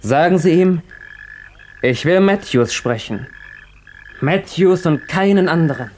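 High-voiced, desperate armed hostage-taker. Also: male voice, animated, a little slow, darkish tone. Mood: commanding, agitated. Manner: curt, barking orders, distrustful. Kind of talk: German radio crime drama acting. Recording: hissy old radio play recording.

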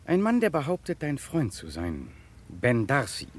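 An actor playing a composed, wry police detective in a 1960s German radio crime drama. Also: male voice, mid-range voice, huge pitch swings, measured pace. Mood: calm, wry. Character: avuncular, composed male police detective lead. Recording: faintly noisy 1960s radio recording.

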